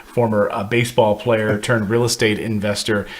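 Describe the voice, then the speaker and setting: A man's voice: lowish voice, moderate pace. A middle-aged man hosting an interview show, upbeat and chatty as he introduces his guest and asks questions. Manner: energetic, plugs channel, jokes about names. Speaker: show host.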